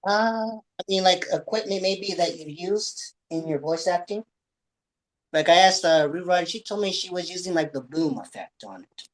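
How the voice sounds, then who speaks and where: A woman interviewer asking questions in a hesitant, halting way. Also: on the low side, animated.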